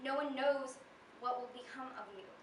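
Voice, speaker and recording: A teenage young woman giving a deliberate, dramatic slam poetry performance. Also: high voice. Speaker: slam poet. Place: live poetry event, recorded from a distance. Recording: distant echoey room recording with noise.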